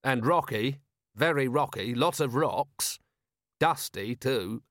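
A man's voice: medium pitch, lively intonation, slow, a bit bright, dry recording, clean studio sound. A man playing a wry, weary city watch commander in an audiobook, speaking in a sardonic, clipped way.

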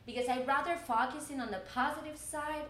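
A woman's voice: sits high, fairly quick, fairly bright tone, reverberant, slight background noise. A woman in her twenties from Cuba, speaking English with a Cuban Spanish accent in a casual chat, often repeating words as she starts a thought. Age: 20s. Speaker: journalism student guest.

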